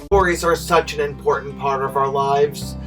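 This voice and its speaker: A man hosting storytime, reading a children's picture book with expressive, deliberate phrasing. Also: mid-range voice, over music. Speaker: storytime host and reader. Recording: roomy, distant mic, noisy hum.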